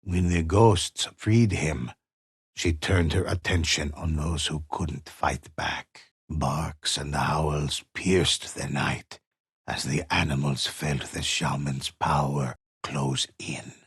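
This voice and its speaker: Deep, gravelly old storyteller. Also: male voice, steady tone, fairly bright tone, no room sound, clean studio sound, exaggerated voice. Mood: grave, ominous. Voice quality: gravelly, husky. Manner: slow, solemn, measured, ominous. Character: grave old male storyteller of samurai ghost legends. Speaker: narrator. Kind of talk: dramatic scripted storytelling for a video game.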